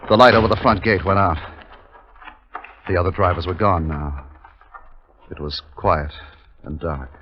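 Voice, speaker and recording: An actor playing a resolute army captain who narrates a wartime raid in a terse, suspenseful 1950s radio drama style. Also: male voice, low-pitched, measured pace, pauses often, a little hiss or hum. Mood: hushed suspense. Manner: terse, suspenseful, clipped phrasing. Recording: dull vintage radio recording with music.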